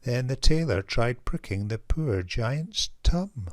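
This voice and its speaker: A man reading a picture book aloud to young children in an expressive, animated storytelling way, as its author. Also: medium pitch, slow, no room sound, studio quality. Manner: expressive, addresses young listeners directly.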